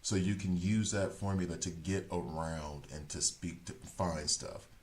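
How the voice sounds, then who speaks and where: A man's voice: deep voice, steady tone, a little slow, darkish tone, a little hiss or hum. A man streaming a game and chatting casually, rambling, restarting sentences and correcting himself as he thinks aloud. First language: English. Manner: talks things through as he thinks. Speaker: gaming streamer.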